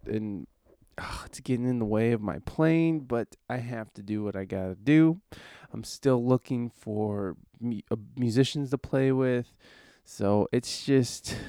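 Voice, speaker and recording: Podcast host, male, a bit hoarse from a cold, rambling delivery, smooth dry close sound. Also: fairly low pitch, darkish tone, no room sound. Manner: rambling, easygoing, many fillers. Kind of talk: casual podcast chat.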